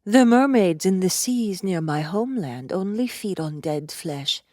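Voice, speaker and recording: A woman's voice: mid-range voice, animated, mellow, no room sound, clean studio sound, natural voice. A woman playing a cool, deadly female warrior, speaking formally and matter-of-factly in a cinematic audiobook. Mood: grave, informative. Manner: formal, measured, matter-of-fact.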